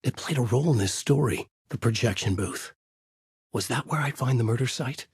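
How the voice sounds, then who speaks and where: Haunted writer hero, brooding noir delivery, husky voice, clipped intense phrases, very dry studio sound, video game. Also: male voice, mid-range voice, normal speed, dry recording. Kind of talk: noir scripted narration and dramatic game dialogue.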